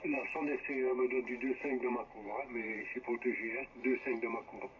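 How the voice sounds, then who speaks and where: A man's voice: medium pitch, steady tone, fairly quick, a loud crowd. A man chatting casually as an amateur radio operator over a shortwave transmission. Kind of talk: casual ham radio chat. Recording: muffled, noisy ham radio reception. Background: radio static and hiss.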